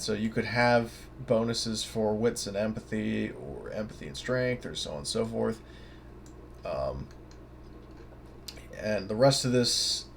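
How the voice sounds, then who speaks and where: A man's voice: on the low side, gravelly. A man giving an informal, off-the-cuff tabletop game tutorial, rambling casually and thinking aloud over a noisy recording. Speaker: tabletop game guide presenter. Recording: noticeable background noise.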